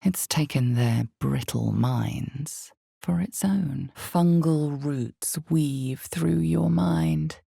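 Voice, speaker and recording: A woman playing an ominous, all-knowing narrator of a fantasy video game, speaking in measured, deliberate, dramatic storytelling. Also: deep voice, creaky, dark tone, slightly rough, dry recording, clean studio sound, exaggerated voice. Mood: ominous, hushed.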